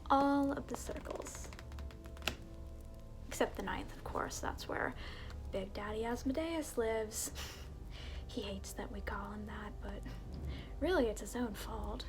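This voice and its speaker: A sardonic evil alter ego played by a woman speaks in breathy, fairly high whispers at an unhurried pace. The delivery is a mock-courteous ASMR roleplay welcome to hell, with clear music playing behind it.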